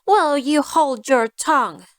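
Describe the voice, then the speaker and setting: Woman putting on a slow, sly storybook cat. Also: sits high, lively intonation, dry recording, clean studio sound, voice pushed up. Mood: menacing. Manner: smug, deliberate. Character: sly, greedy, haughty female cat.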